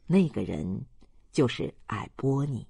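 Husky, expressive audiobook narrator. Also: mid-range voice, takes it slowly, no echo, studio quality. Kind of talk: scripted literary narration.

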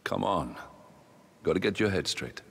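A man playing a steady comrade in a video game, giving blunt, firm counsel. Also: low-pitched, unhurried, creaky, husky, full character voice. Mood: firm, urging. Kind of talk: dramatic video game cutscene acting. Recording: long hall reverb.

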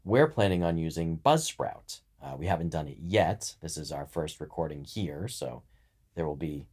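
A man's voice: rumbling low, lively intonation, moderate pace, a little mellow, slightly rough. A man co-hosting a podcast in a casual, informal chat style, with a joking streak. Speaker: podcast co-host.

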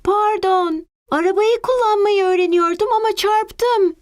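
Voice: extremely high pitch, unhurried. A performer voicing a curious, eager little boy in a dubbed children's cartoon, wide-eyed and inquisitive. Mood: earnest. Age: young child character.

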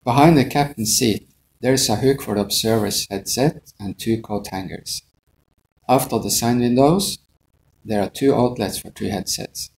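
A man's voice: mid-range voice, normal speed, vocal fry, a little mellow, a little hiss or hum, low-quality recording. A middle-aged man, an airline pilot, giving a methodical, scripted instructional narration.

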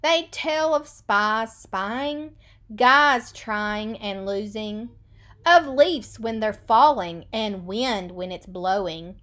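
A woman in her thirties, an elementary school teacher, reading a picture book lesson to children in a deliberate sing-song way, recorded indoors on a computer webcam. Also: mid-range voice, a bit bright.

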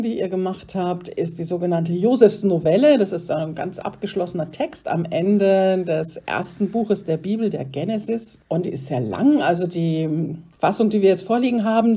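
A woman's voice: fairly low pitch, mellow, slightly rough. A woman speaking as a pastor and theological expert, retelling and explaining a story in an interview.

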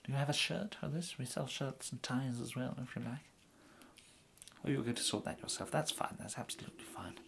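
Soft-spoken English gentleman tailor, played by a man. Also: medium pitch, brisk, voice pushed up. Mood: courteous, pleased. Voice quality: soft, breathy, often slipping into whisper. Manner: hesitant, courteous, murmuring. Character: polite, fussy English gentleman tailor serving a customer. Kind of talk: ASMR roleplay. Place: indoors, plain small room, close to camera.

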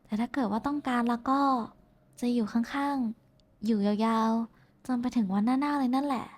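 A woman's voice: a little high, normal speed, a little mellow, natural voice. A woman playing a shy, affectionate young woman in an intimate roleplay, talking casually and hesitantly to someone special. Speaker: VTuber voice actress. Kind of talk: intimate roleplay voice pack addressed to the listener.

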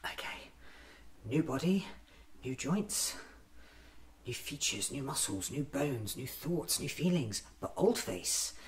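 A man in his thirties doing an ASMR roleplay as a scatterbrained, excitable alien doctor, muttering to himself in fragments, often in a whisper. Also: medium pitch, slow pace, a little hiss or hum, poor audio. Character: scatterbrained, excitable time-travelling alien doctor, newly regenerated. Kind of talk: ASMR roleplay acted as a monologue.

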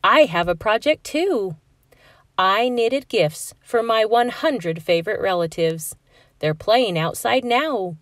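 A woman's voice: medium pitch, lively intonation, slow, dry recording, clean studio sound. A woman voicing a fussy, motherly female armadillo lunch monitor in a storybook, with exclamatory, emphatic speech. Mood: eager, proud.

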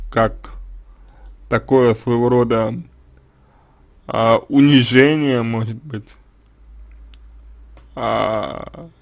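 A middle-aged man offers unhurried advice in a plain mid-pitched voice. He talks slowly, searching for words with frequent fillers and self-corrections, circling back to rephrase. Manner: slow, hesitant, many fillers and restarts. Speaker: psychologist answering a question. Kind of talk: spoken advice monologue.

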